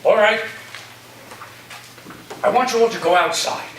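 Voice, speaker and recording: A man's voice: high voice, a little slow, a bit bright. A man playing an eccentric college professor in a staged play reading, formal, theatrical and earnest in delivery. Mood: polite, distracted. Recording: echoey room from far off, noisy.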